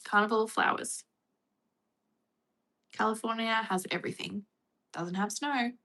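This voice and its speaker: Australian woman, casual streamer, bright forward voice, chatty with viewers. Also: a little high, measured pace, poor audio. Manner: chatty, reads and answers viewer comments. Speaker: livestream host. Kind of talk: casual livestream chat.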